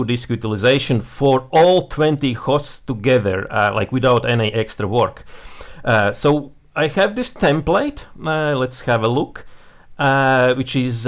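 A young man with a Latvian accent, a native Latvian speaker, walking through a software setup on a screen share in a small room, talking with many fillers and restarts. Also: a little high. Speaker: software tutorial presenter.

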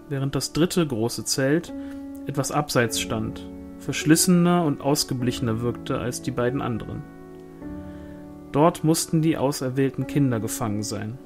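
A man reading a fantasy novel aloud as scripted audiobook narration. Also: medium pitch. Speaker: audiobook reader.

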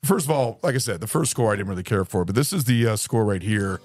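A man co-hosting a movie podcast, hoarse from a recent flu, chatting casually and excitedly, swearing freely and tripping over words. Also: fairly low pitch, fast talker. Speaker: podcast co-host. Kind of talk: casual movie review chat.

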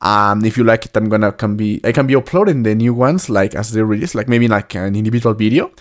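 A man in his twenties giving casual reaction commentary into a desk microphone, with slightly halting, repetitive phrasing. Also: fairly low pitch. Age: 20s. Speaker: reaction video host. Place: small indoor room at a desk. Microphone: desktop microphone up close.

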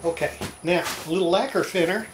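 A woman's voice: on the low side, brisk. An older woman gives casual, matter-of-fact hands-on narration, talking while she works as a restorer demonstrating repairs. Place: indoor home workshop. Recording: roomy workshop, loud sanding and rubbing noise.

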